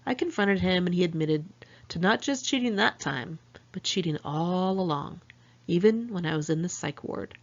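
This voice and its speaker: A woman's voice: lowish voice, animated, normal speed. A woman reading her own first-person memoir aloud as an audiobook, in a candid, conversational, confessional manner. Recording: dull lo-fi home recording.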